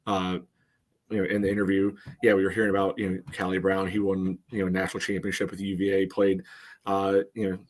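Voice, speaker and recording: A man giving casual sports analysis as a podcast co-host, in a halting, conversational way. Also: low voice, completely flat delivery.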